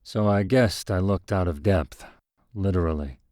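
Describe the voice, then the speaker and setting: Male narrator, scripted first-person fiction. Fairly low voice, very dry sound. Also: measured pace, a little mellow, dry recording.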